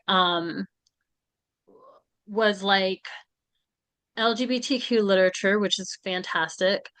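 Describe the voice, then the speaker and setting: A woman in her forties from Florida, a literary agent, talking in a measured, reflective way as an interview guest. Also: medium pitch, fairly bright tone, clean tone, no echo. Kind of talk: conversational interview.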